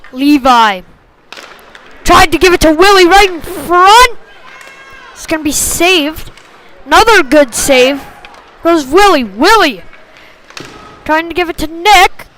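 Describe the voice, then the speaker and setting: Female hockey play-by-play caller, very high voice, slow pacing, clipped phrasing, excitable on big plays. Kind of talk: live sports commentary.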